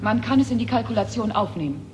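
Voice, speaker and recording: A woman's voice: fairly high pitch, animated, fast, a little hiss or hum, close to an everyday voice. An actress playing an artificially created young woman in a German radio play, formal, literal and coolly logical as she learns human feeling. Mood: controlled, warning.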